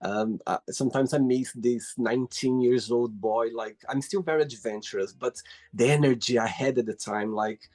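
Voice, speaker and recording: A man's voice: medium pitch, steady tone, moderate pace, darkish tone, soft background music. Brazilian man hosting an interview. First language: Portuguese. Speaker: host. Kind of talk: casual video call interview.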